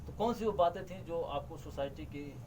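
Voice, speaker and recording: A man, working as a news reporter, asking formal questions with frequent hesitant pauses. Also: a little high, background noise. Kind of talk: news interview.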